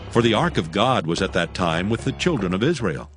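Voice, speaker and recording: Low-pitched male narrator over music. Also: very animated, brisk. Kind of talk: scripted dramatic Bible narration.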